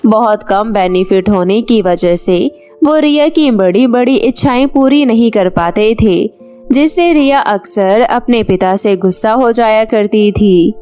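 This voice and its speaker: A woman's voice: a little high, unhurried, dark tone, clean tone, soft background music. A woman storyteller reading scripted narration for a children's moral tale.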